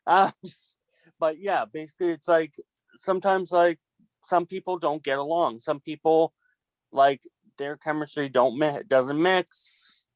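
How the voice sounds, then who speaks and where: A man talking casually in a group discussion, halting and repeating himself, often leaning on "like". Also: fairly high pitch, fairly even intonation, no echo. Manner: halting, repetitive, heavy use of "like". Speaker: film production student in a group meeting.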